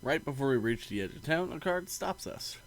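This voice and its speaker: A man's voice: a little high, lively intonation, a little fast, slight background noise. A man streaming a game, reading its narration aloud expressively, sometimes stumbling and breaking into casual asides.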